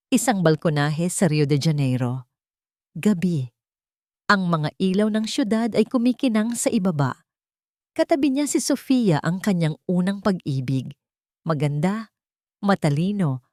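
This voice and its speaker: A woman's voice: lowish voice, a little mellow, dry recording, studio quality. A woman narrating a scripted romantic drama as a storyteller.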